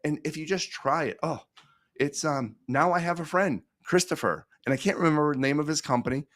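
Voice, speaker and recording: A middle-aged American man speaking as a podcast guest, telling stories conversationally in an earnest, emphatic way, repeating phrases and pausing for effect. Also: on the high side, a bit bright, dry recording, clean studio sound. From Pittsburgh, United States. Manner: earnest, emphatic, with repetition and dramatic pauses.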